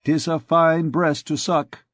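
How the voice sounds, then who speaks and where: A man's voice: on the high side, lively intonation, a little slow, a little mellow, no echo, voice pushed up. A man reading aloud gives us a learned archdeacon of an old storybook. He is guarded and courtly, probing with polite questions. The voice sits a little raised and sounds close and dry. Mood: needling. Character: guarded, learned male archdeacon, middle-aged. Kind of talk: audiobook dialogue.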